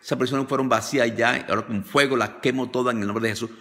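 A man's voice: medium pitch, steady tone, fast talker, sharp tone. An older man leading a fervent, repetitive deliverance prayer on a phone video call, commanding and declaring. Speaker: deliverance preacher.

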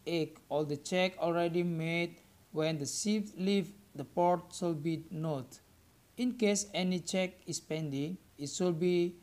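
A young man with an Indonesian accent reads a scripted student presentation aloud, halting word by word. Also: fairly high pitch, darkish tone. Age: young adult.

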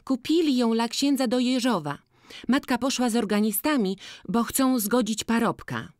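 A reader voicing a lively, bold young village girl in a slowly read audiobook. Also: medium pitch, no echo, clean studio sound, voice pushed up, natural voice. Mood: eager. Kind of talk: audiobook character voice.

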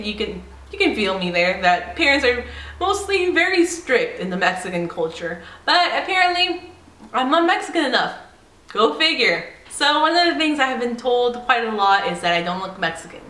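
A woman of twenty-five from San Diego, talking casually to the camera at home in an animated, chatty way. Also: on the high side, reverberant. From San Diego, United States. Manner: animated and chatty, laughing often. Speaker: vlogger. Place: indoor home room by a staircase.

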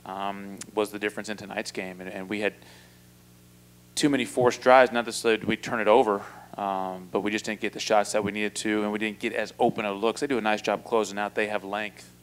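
A man in his forties, a basketball coach answering press questions after a loss, measured and halting with many fillers, speaking into a table mic in a press room. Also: lowish voice. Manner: measured, flat delivery with many fillers and restarts. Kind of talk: postgame press conference answers. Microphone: table mic in front.

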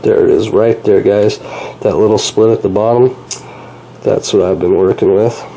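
A man in his thirties with a fairly low voice talks casually to his viewers from a home kitchen, his nose stuffed up from a cold. He rambles in a relaxed way, full of "uh" and "you know", recorded by a camera across the table with a faint hum of room ambience behind him. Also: normal speed, gravelly, poor audio. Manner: relaxed, chatty, many fillers. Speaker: gun channel host. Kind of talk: casual product vlog.

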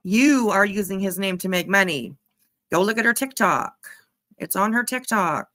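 A woman's voice: medium pitch, expressive, normal speed, a bit bright, dry recording. A woman with a mid-range voice delivers casual reaction commentary. She is sarcastic and mocking, with blunt swearing. The recording is dry, with little room sound. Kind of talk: casual mocking commentary over a played livestream clip.

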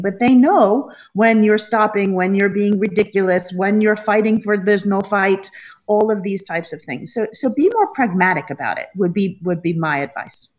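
Frank woman on a lo-fi video call. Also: mid-range voice. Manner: frank, animated, self-mocking. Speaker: guest, company chief. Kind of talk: video call panel talk. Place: home room with wooden shutters. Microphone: laptop webcam on video call. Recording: dull lo-fi call audio.

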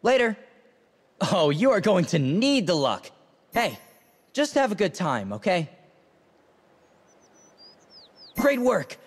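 Cocky young male game fighter, very high voice, lively swings, brash, teasing, short callouts. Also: unhurried, breathy edge, long reverb tail, heavily acted. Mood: cocky, playful. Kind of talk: video game character voice lines, short callouts.

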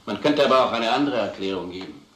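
An actor playing a stern police investigator in a crime radio drama, deliberate and authoritative. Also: male voice, fairly low pitch, animated, reverberant, lightly acted, rough recording. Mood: accusing. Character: stern, accusing male police investigator pressing for confession.

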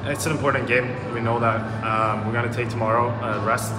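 A young man in his twenties, a hockey player giving postgame answers into a reporter's phone in a bare room, halting and filling gaps with um and uh, repeating words as he goes. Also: medium pitch, steady tone. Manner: hesitant, many fillers and repeated words. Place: bare room in front of a gray wall, indoors. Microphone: phone held up by a reporter. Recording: echoey room with loud music behind.

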